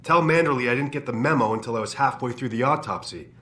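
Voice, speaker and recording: An actor playing an irritable, talkative medical examiner in a video game, grumbling in a brusque way. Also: male voice, mid-range voice, fast, sharp tone, close to an everyday voice.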